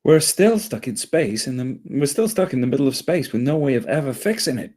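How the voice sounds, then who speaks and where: A man's voice: medium pitch, big pitch swings, brisk, a little mellow. A man playing a sarcastic, exasperated first mate in a comedy table read, with a dry, complaining manner. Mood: earnest, exasperated.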